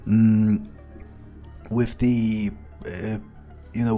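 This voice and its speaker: A man improvising the thoughts of a cynical, power-loving vampire during a game playthrough, rambling and haltingly sardonic, with some swearing. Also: medium pitch, mellow. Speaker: player of a video game, speaking in character.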